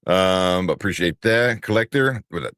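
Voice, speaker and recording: A man with a very deep voice co-hosting a casual livestream show, laid-back and chuckling, rambling with fillers. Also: lively intonation, normal speed, fairly bright tone, clean tone, no echo, clean studio sound. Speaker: co-host.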